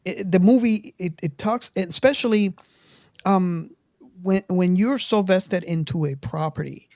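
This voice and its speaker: Woman in her 50s hosting a casual movie chat, lowish voice, halting and repeats words, dry sound. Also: a little mellow, dry recording. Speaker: podcast host. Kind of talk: casual film discussion.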